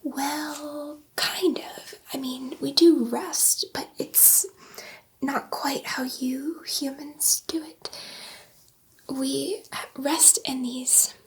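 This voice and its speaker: A woman playing a gentle, nervous alien scientist in an ASMR sci-fi roleplay, whispering softly in a hesitant, rambling, confiding way. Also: high-pitched, steady tone, very slow, exaggerated voice. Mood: wistful, admiring. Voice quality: soft breathy whisper. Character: gentle, nervous, chatty female alien scientist, friendly to humans.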